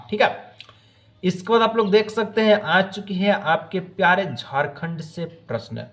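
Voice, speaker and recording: A man with a fairly high-pitched voice teaches in a quiz format. He puts questions to his students, says them again, and then gives the answers. English phrases are mixed into his explanations. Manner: repetitive, asks each question then answers it; mixes English phrases into speech. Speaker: exam-prep teacher. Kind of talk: quiz-style lesson narration addressed to students.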